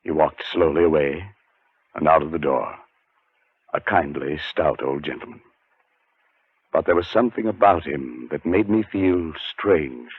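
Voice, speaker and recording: An actor playing a haunted, nervous scholarly gentleman, narrating in a brooding, suspenseful 1940s radio drama style. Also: a bass voice, measured pace, long pauses, husky, no echo, heavily acted. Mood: uneasy recollection. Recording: muffled 1940s radio recording with music.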